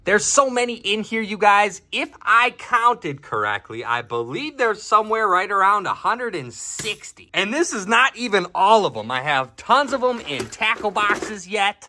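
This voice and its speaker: Young guy vlogging into a handheld camera, upbeat and chatty, voice bouncing all over, bright and sharp. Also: high-pitched. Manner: upbeat, chatty, addresses viewers as you guys. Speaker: YouTube host. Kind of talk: casual vlog to camera. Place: indoor room of a farmhouse. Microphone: camera held at arm's length.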